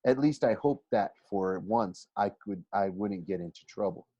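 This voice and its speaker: Low-voiced man reading, roomy sound. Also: moderate pace, warm tone, slightly rough. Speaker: teacher reading a novel aloud for a class. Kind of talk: expressive first-person audiobook narration. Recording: roomy, slightly dull home recording.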